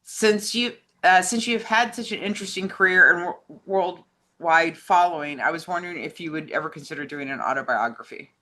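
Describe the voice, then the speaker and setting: Bright-voiced American woman co-host. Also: on the low side, a little fast. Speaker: co-host reading fan questions. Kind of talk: casual chat, reading out questions.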